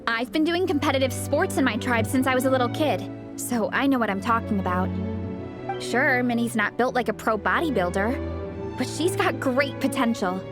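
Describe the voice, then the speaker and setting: An actress playing an earnest, protective young athletic team captain in a video game, frank and informal. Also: female voice, on the high side, animated, moderate pace, over music, lightly acted. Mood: earnest, reassuring.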